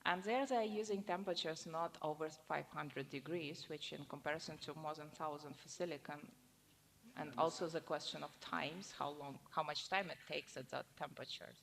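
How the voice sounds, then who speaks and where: Low, gravelly woman in a reverberant hall. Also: normal speed, fairly bright tone. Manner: explanatory, emphatic, hesitant starts. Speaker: conference speaker answering questions. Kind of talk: academic Q&A. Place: lecture hall. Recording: lecture hall reverb, faint noise.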